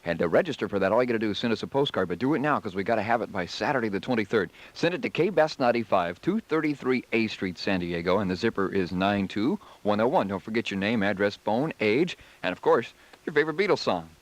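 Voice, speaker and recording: A man's voice: fairly low pitch, expressive, quick pace. An American man working as a radio DJ, delivering music patter and news in a 1970s style. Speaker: radio DJ and newsreader.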